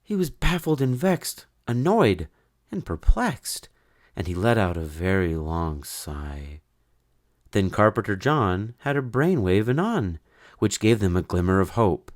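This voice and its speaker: A man narrating a rhyming children's story in an expressive, theatrical way. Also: fairly low pitch, a little slow. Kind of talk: dramatic rhyming children's story read-aloud.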